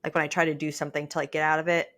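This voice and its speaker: A woman's voice: sits low, level tone, very fast, fairly bright tone. A young adult woman talking casually and conversationally as a podcast co-host.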